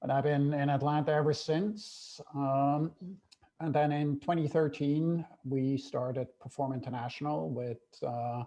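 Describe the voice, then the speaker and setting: A middle-aged man from Switzerland telling his life story in a relaxed interview, with many "uh" fillers. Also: on the high side, a little slow. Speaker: guest, travel company co-founder. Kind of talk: casual interview chat.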